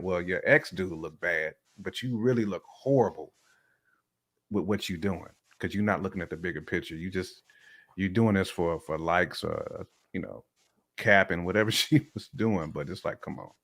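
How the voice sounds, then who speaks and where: A man's voice: low voice, normal speed, fairly bright tone. A man giving his opinions in casual, slangy conversation as a guest on a talk show. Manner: colloquial, slangy phrasing.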